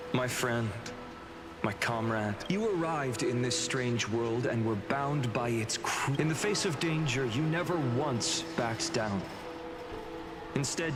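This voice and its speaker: A solemn, gentle hero of a video game, acted by a man, speaks slowly and earnestly at a middling pitch. He leaves dramatic pauses, and loud music swells behind him. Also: lightly acted. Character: solemn, gentle male hero's other self, resolute.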